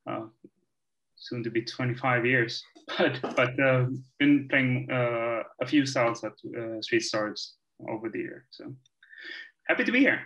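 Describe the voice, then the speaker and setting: A man from Sweden speaking as a guest DJ, pausing and hesitating between his words. His voice comes through a dull, lo-fi recording at an ordinary middle pitch. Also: a bit bright. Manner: hesitant, fillers. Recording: dull lo-fi sound.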